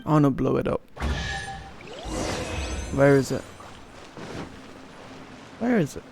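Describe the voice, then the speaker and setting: A man's voice: mid-range voice, expressive, takes it slowly. A man doing casual gameplay commentary as a streamer, blunt and slangy, muttering to himself. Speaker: game streamer. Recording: game music clearly behind.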